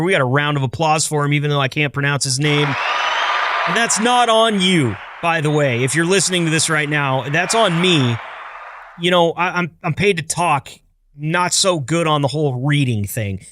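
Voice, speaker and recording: A man's voice: a little high, moderate pace, sharp tone, a little hiss or hum. An American man, around his thirties, hosting a podcast in a casual, chatty style with upbeat, playful patter. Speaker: podcast host. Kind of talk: casual, chatty scripted-loose podcast intro.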